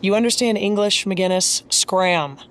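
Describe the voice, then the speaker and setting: A woman's voice: mid-range voice, measured pace, slight background noise, lightly acted. An actress playing a stern woman police commissioner with a clipped, commanding, serious way of talking in a superhero audio drama. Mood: stern, curt.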